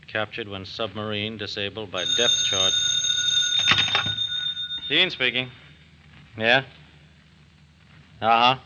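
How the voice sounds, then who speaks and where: Forceful, businesslike FBI agent. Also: male voice, fairly low pitch, heavily acted. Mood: brisk. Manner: clipped, businesslike. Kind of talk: 1940s radio acting. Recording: muffled, noisy 1940s radio recording.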